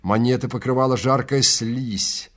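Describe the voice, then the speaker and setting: A man narrating a story from a script in a measured, theatrical, dramatic manner. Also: medium pitch, steady tone.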